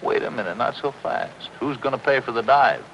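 An actor playing a cynical, world-weary, money-minded middle-aged deep-sea diver, wisecracking in a 1950s television crime drama. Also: male voice, moderate pace, vocal fry, fairly bright tone, muffled recording, a little hiss or hum. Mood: grudging. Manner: sardonic, wisecracking.

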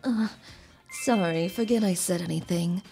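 A woman playing a polite, soft-spoken and hesitant lodge keeper in her early thirties in a manga dub. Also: fairly low pitch, moderate pace, vocal fry, faint music behind.

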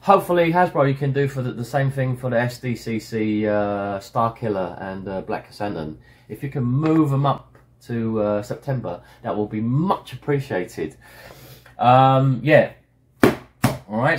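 A middle-aged man and toy shop owner, hoarse from illness, chatting casually to his customers with lots of fillers and restarts, filmed by a desk camera in a stockroom. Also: medium pitch. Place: indoor stockroom full of toy boxes.

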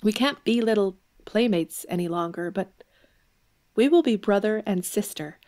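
A spirited young woman voiced by an actress in a period audio drama, with a mid-pitched voice. She is blunt, teasing and exclamatory, yet deeply affectionate. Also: expressive, moderate pace, a little mellow, natural voice. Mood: earnest, affectionate.

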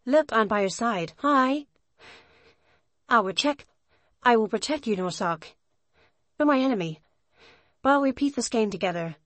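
A woman voicing a sweet, friendly schoolgirl chess partner in an anime visual novel, gentle and encouraging. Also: on the high side, expressive, normal speed, pauses often, dry recording. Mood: playful.

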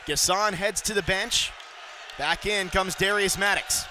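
Young man calling basketball play-by-play, excited. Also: high-pitched, crowd noise, background noise. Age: young adult. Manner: rapid excited calls, shouting on big plays. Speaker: play-by-play announcer.

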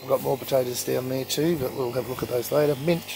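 A man giving a casual, halting tour of his backyard garden, filmed on a handheld phone outdoors. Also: medium pitch, fairly even intonation, vocal fry, noisy background. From Australia. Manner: slow, halting, with frequent ums and uhs. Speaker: gardening channel host.

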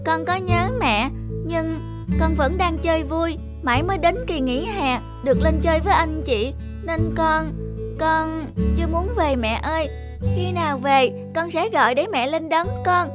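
High voiced little cartoon boy, polite n eager, kinda pleading, music behind. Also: unhurried. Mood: hurried. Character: young boy, eager, affectionate, a little selfish. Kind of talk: dubbed children's cartoon dialogue.

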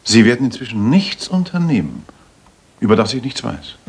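Exacting male detective, very husky, animated swinging intonation, self-assured, probing, radio drama. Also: medium pitch, normal speed. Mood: brisk, deliberate. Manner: probing, precise, clipped questioning. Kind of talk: German radio drama acting.

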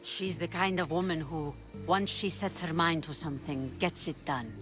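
A woman voicing a steady, low-voiced pirate captain. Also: moderate pace, music in the background, close to an everyday voice. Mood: calm. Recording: game score playing behind.

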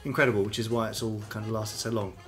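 A man's voice: on the low side, little pitch movement, fast, fairly bright tone, soft background music. A man hosting a podcast in casual chat, joking and laughing often. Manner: chatty, jokey, laughs often. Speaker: podcast host.

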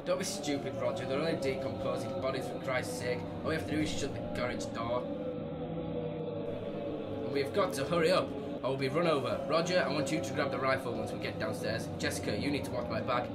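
A man's voice: mid-range voice, a little fast, over loud music, lightly acted. A man playing a level-headed, authoritative leader of zombie survivors in a radio drama. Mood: commanding.

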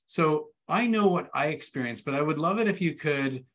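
A man's voice: fairly high pitch, lively intonation, moderate pace, fairly bright tone. A man in his forties hosting a webinar over a video call, talking in an informal, conversational way. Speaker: webinar host. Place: indoor room with bookshelves, on a video call. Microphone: video call microphone.

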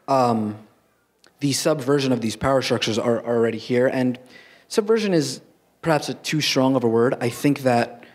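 Young male panelist, frank and measured, handheld mic on stage. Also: medium pitch. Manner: frank, measured, reasoning aloud. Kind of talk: panel discussion. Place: stage in a large auditorium.

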